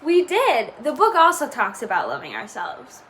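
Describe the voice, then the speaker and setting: A young woman reading a nonfiction book aloud in an earnest, steady voice, then explaining a class activity in a bubbly way. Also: fairly high pitch, normal speed, slight background noise. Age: young adult. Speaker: student reader.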